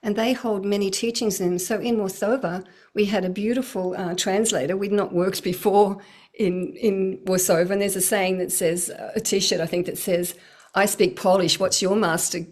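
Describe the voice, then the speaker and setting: A woman's voice: medium pitch, fairly even intonation, normal speed, darkish tone. A middle-aged woman speaking earnestly about spiritual healing work, with a flowing manner and frequent laughs. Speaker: spiritual teacher, guest. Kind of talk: casual spiritual talk.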